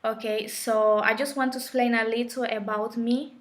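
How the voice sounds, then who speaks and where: A middle-aged Colombian woman speaks English with a Colombian Spanish accent, Spanish being her first language. Her voice stays flat and even in pitch as she talks unhurriedly to a live stream audience, pausing on fillers and restarting phrases. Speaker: spiritual course teacher.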